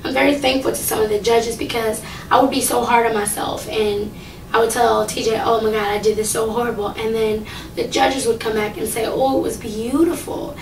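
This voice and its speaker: A young woman talking casually to camera about a singing contest. She rambles with lots of fillers, and her voice is low and slightly raspy. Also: steady tone, fairly quick, far from the mic, slight background noise. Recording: echoey room, voice from off the mic.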